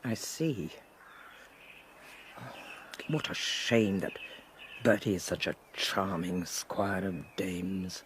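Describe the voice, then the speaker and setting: An actress playing a self-assured older lady sleuth in a radio whodunit, with an upper-class English accent and a husky, deliberate, emphatic delivery. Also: extremely low pitch, a little hiss or hum, exaggerated voice. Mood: dry, knowing. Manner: deliberate, emphatic, precise, teasing. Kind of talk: 1920s whodunit radio drama acting.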